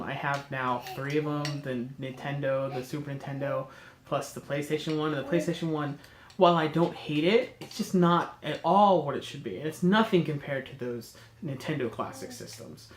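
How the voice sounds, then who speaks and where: A man with a cold speaks in a nasal, congested voice that sits a little high. He rambles enthusiastically and corrects himself often as he hosts a casual chat. The room sounds echoey, with a faint hiss underneath. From North America. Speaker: host.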